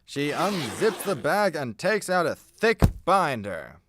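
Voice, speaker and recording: Animated, highish male narrator. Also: slow pace, background noise. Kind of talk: scripted narration for a comedy radio play.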